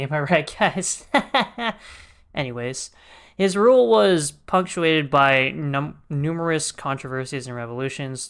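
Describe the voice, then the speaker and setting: A man's voice: mid-range voice, a bit bright, clear, resonant tone. A man giving casual, slangy, joking gameplay commentary as a streamer. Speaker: game streamer.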